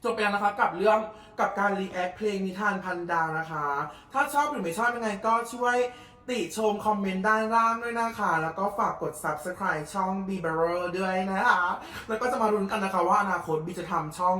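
A woman hosting a reaction video, chatting casually in a gushing, excitable way with little squeals. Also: medium pitch. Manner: gushing, excitable, with frequent squeals and drawn-out particles. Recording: echoey, roomy sound.

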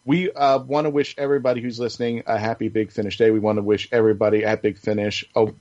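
A man's voice: fairly low pitch, little pitch movement, quick pace, a little mellow. A man hosting a casual fan podcast, chatting enthusiastically about things he likes. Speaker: podcast host. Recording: dull lo-fi audio.

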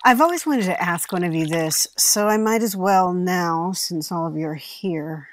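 An actress playing an uneasy, candid in-law in a comedy audio drama, musing and rambling in a naturalistic, hesitant way. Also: female voice, on the low side, normal speed, natural voice. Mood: earnest, musing. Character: uneasy, candid woman married into an eccentric family.

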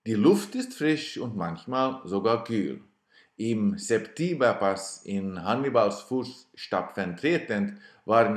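Guy narrating, dry self-mocking tone, slow pace, roomy reverb. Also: medium pitch. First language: Swedish. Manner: dry, self-ironic storytelling. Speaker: cyclist narrating his trip video. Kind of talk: scripted voice-over narration.

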